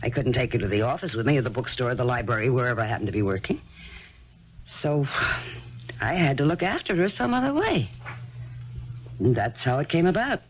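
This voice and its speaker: A woman's voice: extremely low pitch, sing-song, a little fast, pauses often. An actress in a 1970s radio drama playing a weary, practical single mother who answers in an offhand, guarded way. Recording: dull lo-fi archival radio broadcast, faint hiss.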